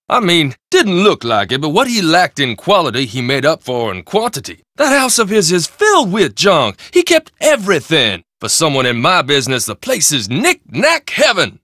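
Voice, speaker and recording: A man's voice: fairly high pitch, pushed and bright, no echo, clean studio sound, exaggerated voice. An actor playing a folksy, talkative male shop owner in a video game, with easy salesman patter. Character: chatty male shop owner, friendly hustler. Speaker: game character.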